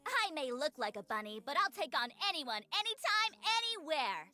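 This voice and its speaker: Bright, high-pitched cocky bunny girl. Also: big pitch swings, moderate pace, faint music behind, heavily acted. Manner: showy, confident. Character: bold, cute young bunny-girl fighter in anime game. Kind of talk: anime game voice acting.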